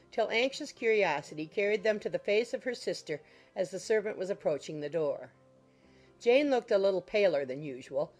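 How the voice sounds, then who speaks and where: A woman reading a classic novel as an audiobook narrator, in measured, formal storytelling style. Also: mid-range voice, darkish tone. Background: music under the voice.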